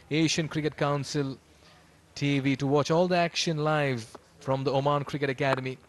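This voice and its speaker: A man giving live cricket commentary mixed with casual chat. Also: fairly high pitch. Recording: clear background noise, live ground ambience.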